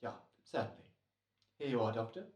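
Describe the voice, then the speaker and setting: Guy acting a fussy polite hired killer, hesitant and smug, pitch jumps around, radio play. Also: expressive, brisk, darkish tone, lightly acted. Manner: hesitant, over-polite, mannered. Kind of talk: old-time radio drama acting.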